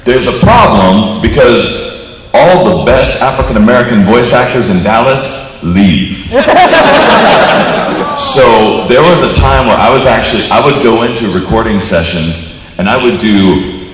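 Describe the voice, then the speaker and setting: A man, a voice actor on a convention panel, telling stories with animated comic timing and deadpan jokes. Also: medium pitch, a little slow. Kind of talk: joking panel storytelling. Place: large convention panel hall. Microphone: panel mic heard through a phone in the audience. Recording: echoey hall from far off on a phone, noisy.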